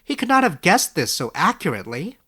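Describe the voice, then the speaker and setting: A man voicing an earnest, excitable young former student in a storybook, eager and quick to defend himself. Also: high-pitched, breathy edge, pitched above the performer's own voice, exaggerated voice. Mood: insistent. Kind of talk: storybook character voice in audiobook narration.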